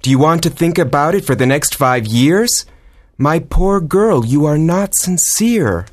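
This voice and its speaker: An actor playing an impatient young suitor who presses and persuades in a dramatized audiobook. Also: male voice, on the high side, lively intonation, a little slow. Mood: reproachful, insistent. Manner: urgent, demanding, persuasive.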